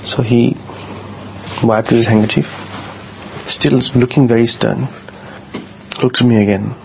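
A man with a bassy low voice, telling a personal story in a casual, earnest way, often halting and repeating words. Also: steady tone, creaky. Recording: dull lo-fi sound with faint noise.

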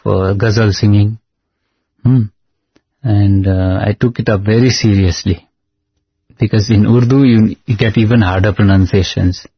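A man's voice: lowish voice, steady tone, unhurried, long pauses, husky, no room sound. A man whose first language is Nepali, a singer recounting stories in an earnest, devotional interview, now and then singing a line. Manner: earnest storytelling, breaks into sung lines. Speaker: singer and guest. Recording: slightly dull with faint music.

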